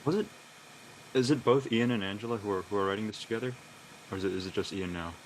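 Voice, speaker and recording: A man in a casual cast discussion, talking in a halting way with repeated words. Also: on the low side, slight background noise, rough recording. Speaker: cast member of an audio drama.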